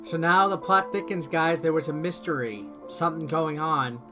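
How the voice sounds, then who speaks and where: A man's voice: a little high, moderate pace. Casual male gamer with game music behind. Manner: playful, reads on-screen text aloud. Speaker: video game streamer. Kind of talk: casual gameplay commentary.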